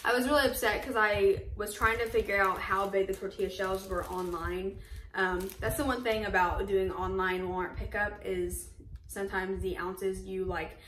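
A woman's voice: mid-range voice, fairly even intonation, normal speed, fairly bright tone, distant mic, slight background noise. A woman in her twenties talking casually on a home vlog, chatty and upbeat with lots of fillers. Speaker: vlogger. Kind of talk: casual grocery haul vlog. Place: home kitchen, indoors. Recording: echoey kitchen, a bit off-mic.

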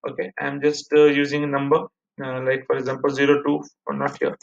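A man's voice: medium pitch, monotone, fast, vocal fry. A man in his thirties with an Indian accent, presenting a casual step-by-step screen-share tutorial in a repetitive way. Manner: repetitive, step-by-step explaining, addressing viewers as guys. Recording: muffled, echoey room recording.

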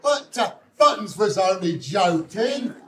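A man's voice: high-pitched, lively intonation, slow. A man playing a meek, henpecked husband and father in a stage pantomime, hesitant, stammering and obedient. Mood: meek, flustered. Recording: echoey stage, distant from microphone.

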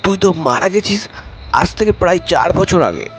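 A man's voice: high voice, big pitch swings, moderate pace. Man acting a terrified pleading man, noisy recording. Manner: stammering, pleading. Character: guilty, terrified younger brother begging for mercy. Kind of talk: horror audio story acting and narration. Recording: clear background noise.

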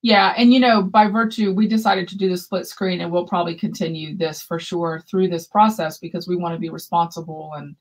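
Warm-voiced woman reading viewer comments. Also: fairly low pitch, steady tone, brisk, few pauses, rough recording. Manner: reads viewer comments aloud, chatty and reassuring. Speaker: yarn shop co-host. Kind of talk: casual livestream chat. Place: room with shelves of yarn behind. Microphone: webcam video call.

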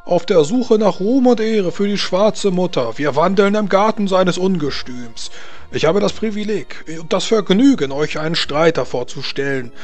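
A man's voice: high-pitched, lively intonation, soft background music, pitched above the performer's own voice. A man voicing a pompous, scornful tournament herald who declaims grandly in a tabletop game. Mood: grandiose menace. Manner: pompous, declaiming, drawn-out shouted names. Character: bombastic, scornful male tournament herald hyping champions.

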